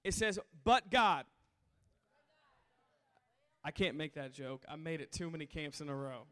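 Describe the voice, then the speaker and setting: A hoarse man preaching to a camp crowd in a large hall, calling for responses from the audience. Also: on the high side, expressive, measured pace, a bit bright. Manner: call-and-response, coaxing the crowd. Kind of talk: sermon to a youth camp crowd. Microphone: handheld stage mic.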